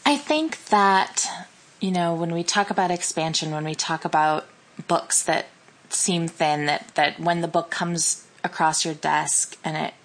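Low-voiced woman podcast co-host. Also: unhurried. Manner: thoughtful, explanatory, restarts often. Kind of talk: casual podcast chat.

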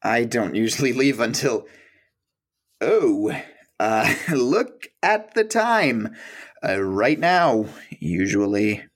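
Guy acting as shy awkward mapmaker man in an audio play, slow halting stammery talk. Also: medium pitch, big pitch swings. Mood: flustered, awkward. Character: awkward, hesitant male mapmaker leaving his job.

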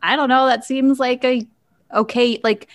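A woman's voice: sits high, steady tone, normal speed. Chatty woman hosting a casual discussion. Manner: chatty, informal, frequent "like". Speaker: podcast host.

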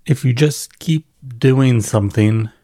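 A man speaks by himself in a very dry recording with little room sound. He has a mid-range voice and talks off the cuff like a podcaster. His delivery is conversational and thoughtful, using pauses and repeated phrases for emphasis. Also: medium pitch, mellow, dry recording. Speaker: podcast host and newsletter writer. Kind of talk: casual reflective solo monologue, off the cuff.

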